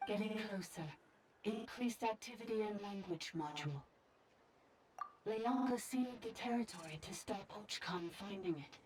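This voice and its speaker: A woman's voice: medium pitch, moderate pace, recorded from a distance, noisy background, lightly acted. A woman voicing a damaged, emotionless automaton in a video game, reporting in terse, clipped phrases. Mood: detached.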